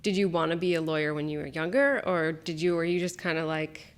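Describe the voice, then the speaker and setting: A woman's voice: deep voice, brisk. A woman hosting a podcast who asks chatty questions full of "like". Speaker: podcast host. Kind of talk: casual interview.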